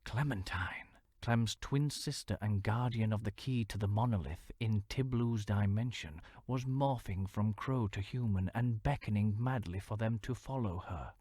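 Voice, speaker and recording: A man narrating a fantasy novel in a measured storytelling manner, sometimes dropping to a hushed near-whisper. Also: lowish voice, dry recording, studio quality. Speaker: audiobook narrator.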